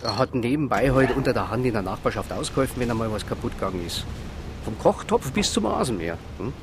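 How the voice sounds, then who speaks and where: A man's voice: big pitch swings, fast talker. An actor playing a plain-spoken small-town policeman with a Bavarian accent, folksy and colloquial, in a radio crime drama. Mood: folksy, subdued. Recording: rumbling noise behind voice.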